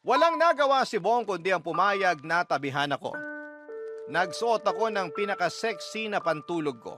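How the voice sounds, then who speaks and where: A man's voice: high-pitched, animated, moderate pace, music in the background. A man reading the first-person story of a heartbroken wife as radio storytelling, confiding and sentimental. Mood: wistful, hopeful. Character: heartbroken wife telling her love story.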